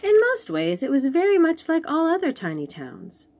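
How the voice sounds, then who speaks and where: A woman reading a picture book aloud to young children in an expressive way, with chatty asides and questions about the pictures. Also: high voice. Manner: expressive, pauses to point out pictures and ask questions. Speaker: children's read-aloud host.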